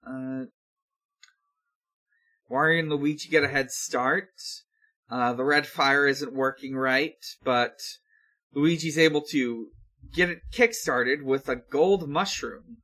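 A man hosting a podcast, giving a casual recap in a halting, unhurried way full of hesitations. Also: mid-range voice, pushed and bright. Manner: halting, filler-heavy, unhurried. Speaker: podcast host. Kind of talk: casual episode recap with frequent pauses.

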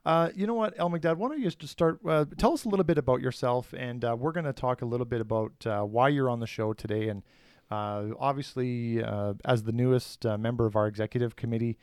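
A man's voice: mid-range voice, fast, darkish tone, clear, resonant tone, dry recording. A man hosting a podcast, his voice in the middle range. He talks in an upbeat, chatty way, full of "uh" and "you know". The sound is dry and close. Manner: upbeat, chatty, many fillers. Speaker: podcast host.

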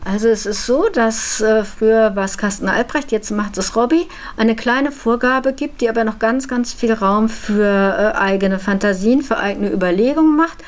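A woman's voice: on the high side, normal speed, hardly any pauses. A woman telling of her experiences as an organiser in a spontaneous interview. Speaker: event initiator being interviewed.

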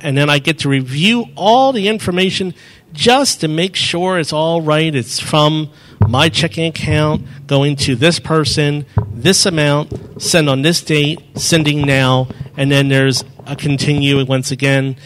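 A man's voice: on the high side, a little slow, a bit bright, a little hiss or hum. A man presenting to an audience in a meeting room, explaining step by step on a handheld mic and often correcting himself as he goes. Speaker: presenter answering audience questions. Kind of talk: live demo talk at a meeting.

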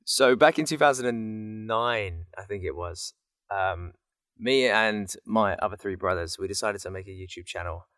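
A man's voice: on the low side, normal speed, a bit bright, no room sound. A man in his thirties chatting casually and telling anecdotes in a playful, easily amused way. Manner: playful, laughs easily while talking. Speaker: voice actor guest.

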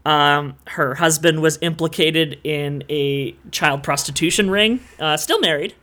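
A podcast co-host chatting casually, with quick, wry jokes. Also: medium pitch, sharp tone. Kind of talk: casual comedic chat.

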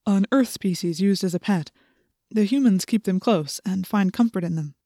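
A woman voicing a nervous, deferential male underling in an audiobook, speaking in a cautious, halting, submissive way. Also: mid-range voice, warm tone, no room sound, clean studio sound, natural voice.

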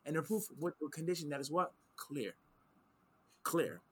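Forceful higher-voiced man teaching religion. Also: sing-song, normal speed, slightly rough. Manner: emphatic, repeats key phrases, quotes Arabic texts. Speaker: Islamic teacher answering questions. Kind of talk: explanatory religious discussion.

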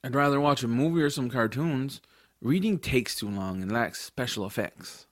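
Casting: male actor for skeptical, adventurous boy hero of a family audiobook, expressive first-person storyteller, mid-range pitch. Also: normal speed, dry recording, clean studio sound, lightly acted. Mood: skeptical, casual. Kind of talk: audiobook first-person narration and acted dialogue.

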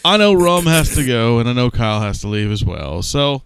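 A man's voice: mid-range voice, sing-song, fairly quick, vocal fry, crowd noise. A man co-hosting a podcast in casual chat. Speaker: podcast co-host.

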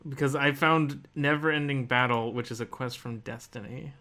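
A man's voice: medium pitch, steady tone, moderate pace, sharp tone. Male podcast co-host chatting casually. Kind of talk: casual chat.

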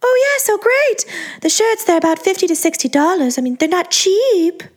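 A woman voicing a self-absorbed female celebrity who coos in a high, childlike sing-song. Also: sing-song, normal speed. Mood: cooing.

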